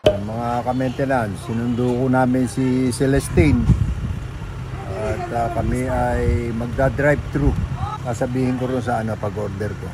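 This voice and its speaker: A man's voice: mid-range voice, fairly even intonation, unhurried. Older Filipino man, playful dad vlogger. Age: 60s. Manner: playful, repeats orders loudly. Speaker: family vlogger. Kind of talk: casual chat. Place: inside a car. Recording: loud car noise.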